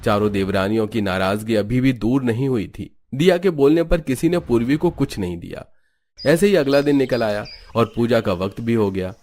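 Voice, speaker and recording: A man narrating a cartoon family story in a plain, even storytelling voice. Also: medium pitch, moderate pace.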